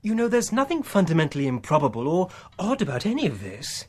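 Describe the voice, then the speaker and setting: A posh diplomatic english gent in a radio play, polite, lightish voice, slightly husky. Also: male voice, fairly high pitch, wildly swooping pitch. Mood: diplomatic, assured. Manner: polite, measured, formal. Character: urbane, diplomatic English gentleman, smoothly reassuring. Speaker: actor in a radio drama. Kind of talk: naturalistic radio drama acting.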